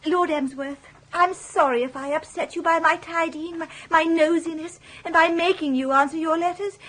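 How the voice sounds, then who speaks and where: An actress playing an earnest, effusive young secretary in a radio comedy, speaking with a gushing, eager manner. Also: female voice, very high voice, steady tone, moderate pace, fairly bright tone. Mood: apologetic. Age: young adult. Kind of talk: British radio comedy drama.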